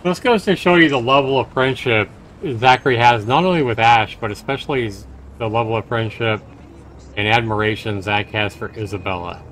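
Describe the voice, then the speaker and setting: A man's voice: mid-range voice, normal speed, a bit bright, slight background noise, poor audio. A man livestreaming a game, reading the narration aloud and adding his own commentary. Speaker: streamer and host.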